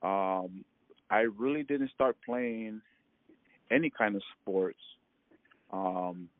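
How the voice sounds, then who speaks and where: Low-voiced man on a phone line. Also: no room sound. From Hawaii and American Samoa. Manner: halting, many fillers and restarts, laughs often. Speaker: interviewee, former ballplayer. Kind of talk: casual phone interview. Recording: tinny phone line with light noise.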